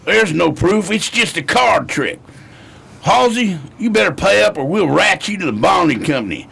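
An actor playing a crooked, gloating 1920s card sharp, taunting and slangy, in a radio crime drama. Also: male voice, high-pitched, sing-song, normal speed, gravelly, slightly rough, full character voice. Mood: threatening.

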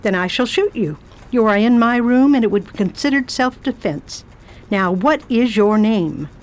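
A woman's voice: on the high side, lively intonation, moderate pace, natural voice. Self-assured English lady traveller, a woman acting. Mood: commanding, scornful. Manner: formal, old-fashioned, self-assured. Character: imperious, curious English lady traveller writing a book. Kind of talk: audio drama acting and diary narration.

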